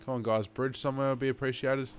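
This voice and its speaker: A man's voice: mid-range voice, flat delivery, quick pace, faint music behind. Young Australian man, sniffly gaming commentator. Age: 20s. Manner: sweary, chatty, addressing viewers as guys. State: sniffly from hay fever. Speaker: gaming video host. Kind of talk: casual gameplay commentary.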